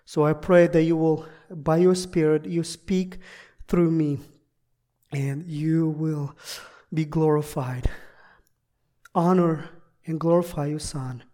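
A preacher and native Russian speaker delivers a sermon at a slow pace. The voice sits in a medium register. The delivery is deliberate and somewhat halting, as a second-language speaker praying and reading scripture. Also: medium pitch, steady tone, mellow. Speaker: guest preacher.

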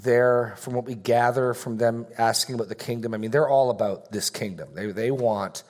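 A man's voice: medium pitch, fairly even intonation, normal speed, a little mellow. A man leading a Bible study group, teaching casually and taking questions, rambling a little, correcting himself and joking in asides.

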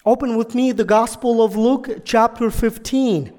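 A guest preacher whose first language is Russian, giving a sermon in halting, deliberate second-language speech. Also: medium pitch, steady tone, darkish tone. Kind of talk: sermon with prayer and scripture reading.

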